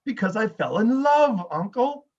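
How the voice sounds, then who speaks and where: Man as high-voiced cheerful nephew. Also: sing-song, a little slow, darkish tone, a little hiss or hum. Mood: friendly. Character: Fred, cheerful, good-hearted nephew of Scrooge. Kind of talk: amateur dramatic reading. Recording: dull lo-fi video-call audio.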